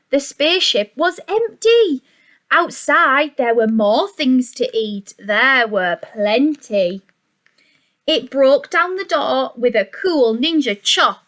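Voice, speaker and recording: Female storyteller for young children: high pitch, unhurried delivery, expressive and sing-song, teacherly asides. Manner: expressive, deliberate, sing-song, with gasps and questions to listeners. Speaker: teacher reading a picture book to young children. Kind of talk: animated children's story reading with chatty asides.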